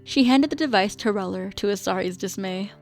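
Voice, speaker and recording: A woman's voice: medium pitch, a little fast, vocal fry. A woman reading scripted narration for a radio drama as the storyteller. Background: music.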